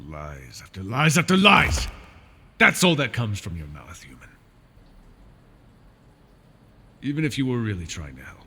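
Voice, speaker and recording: A man's voice: medium pitch, sharp tone, slightly rough, heavily acted. A man voicing a proud, wounded male dragon in an ASMR fantasy roleplay, haughty and scornful while he is tired and in pain.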